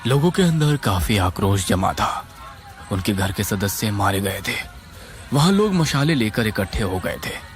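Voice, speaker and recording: Expressive male horror narrator over music. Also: on the low side, measured pace. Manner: suspenseful, expressive. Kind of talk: dramatic scripted horror narration.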